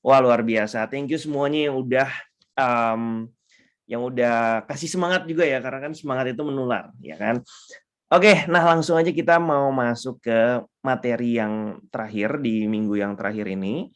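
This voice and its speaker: Hoarse, congested man teaching an online class. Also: medium pitch, moderate pace. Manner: upbeat, mixes English terms, frequent fillers. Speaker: trading mentor.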